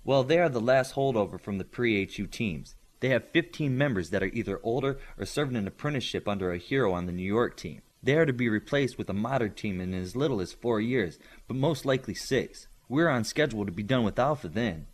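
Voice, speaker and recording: Man voicing a knowing, animated teenage girl. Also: fairly low pitch, a little fast. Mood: eager, informative. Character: smart, chatty teenage girl with telepathic powers, knowing and assertive.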